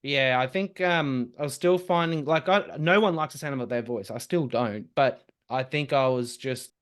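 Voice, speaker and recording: A young man, a podcast guest chatting casually and telling lively stories. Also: medium pitch. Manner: lively, quick storytelling with laughs and restarts. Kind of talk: casual conversation.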